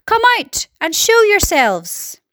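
A woman voicing a loud, excitable, alarmed male police chief in a storybook, shouting drawn-out exclamations. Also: high-pitched, no room sound, clean studio sound, voice pushed up, heavily acted. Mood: commanding.

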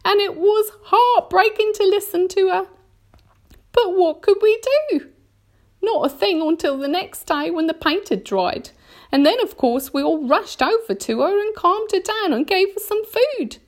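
Lady doing a fretful storybook spider woman, very high, slow and swoopy, all dramatic lament. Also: lively intonation. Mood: mournful, melodramatic. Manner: dramatic, drawn-out, lamenting delivery. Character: anxious, melodramatic, mournful female spider in a storybook.

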